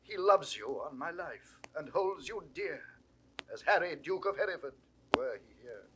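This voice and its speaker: A man's voice: a little high, animated, normal speed, lightly acted. An actor playing a loyal, long-suffering older nobleman, pleading earnestly in 1960s Shakespearean audio drama style. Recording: noisy vintage audio drama recording.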